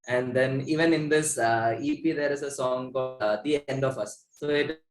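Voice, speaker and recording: A man's voice: mid-range voice, moderate pace. A young man with an Indian accent, chatting casually and enthusiastically as an interview guest. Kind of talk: casual chat. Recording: echoey room.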